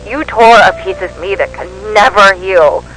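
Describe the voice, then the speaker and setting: A woman playing a grieving, vengeful woman in a dark amateur audio drama, solemn and accusing in her delivery. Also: medium pitch, normal speed, natural voice, poor audio. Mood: bitter grief.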